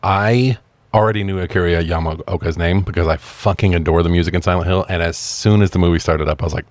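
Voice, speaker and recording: A man's voice: deep voice, fairly even intonation, fast talker, dry recording. A man co-hosting a podcast, talking casually and profanely about films like an enthusiastic fan. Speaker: podcast co-host. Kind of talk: casual film review chat.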